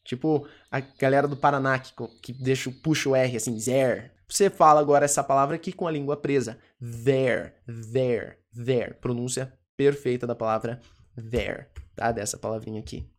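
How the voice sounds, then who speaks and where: This is a teacher leading an informal lesson. They break each phrase apart and drill the English words slowly and more than once. Short "ok?" and "tá?" check-ins keep the tone friendly and conversational. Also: medium pitch, fairly even intonation.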